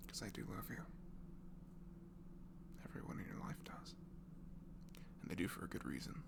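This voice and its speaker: Low, very gravelly young man, tenderly reassuring. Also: big pitch swings, normal speed. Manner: soft, tender, reassuring, often dropping to a whisper. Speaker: amateur audio performer playing a comforting friend. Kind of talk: improvised intimate ASMR comfort voice message. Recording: clearly noisy home recording with hum.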